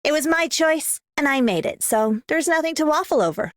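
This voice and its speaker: A woman's voice: high voice, animated, moderate pace, a little hiss or hum. A woman voicing a brash, no-nonsense young combat medic in a video game. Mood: blunt, proud. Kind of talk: scripted game character voice line.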